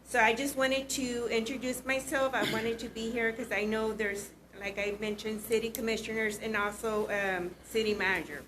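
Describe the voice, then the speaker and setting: A woman who owns a small business, speaking informally at a city council meeting and pausing often with filler words. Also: fairly high pitch, steady tone, noisy background. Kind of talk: public comment at a council meeting. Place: city commission chamber.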